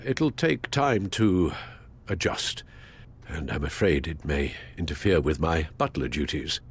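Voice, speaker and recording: A man's voice: very deep voice, lively intonation, measured pace, slightly rough, exaggerated voice. An actor playing a loyal, injured elderly English butler in a video game, formal and fatherly, with a British accent. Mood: weary, grateful. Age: older. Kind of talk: dramatic video game acting.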